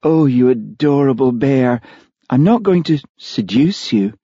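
Audiobook role: haughty temptress, acted by a man, raised pitch, breathy, slightly husky, theatrical delivery. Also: sing-song, moderate pace, warm tone, no room sound, highs cut off. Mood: teasing. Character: haughty seductive woman, scornful of local food.

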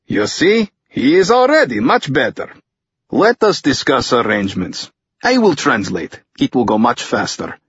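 A man voicing a helpful, matter-of-fact priest who translates in measured, in an audiobook. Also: mid-range voice, huge pitch swings, fairly bright tone, breathy edge, no room sound, lo-fi sound, pitched above the performer's own voice, heavily acted.

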